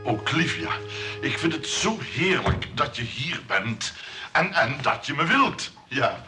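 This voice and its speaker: An actor playing a fussy, smitten bachelor in a comic radio play, stammering and repeating himself. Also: male voice, on the high side, big pitch swings, slow pace, music in the background. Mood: smitten, flustered.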